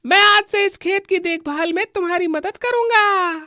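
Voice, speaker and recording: A woman's voice: extremely high pitch, normal speed, pushed and bright, dry recording, studio quality. A woman voicing a humble, repentant male crow in a children's cartoon, earnest and declaiming. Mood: humbled, earnest.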